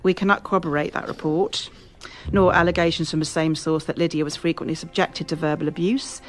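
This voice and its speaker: An actress playing a brisk, authoritative police officer in a radio drama, speaking in a measured, official manner. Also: female voice, fairly low pitch, close to an everyday voice. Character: brisk, authoritative female police officer leading a case briefing. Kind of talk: formal police briefing, radio drama acting.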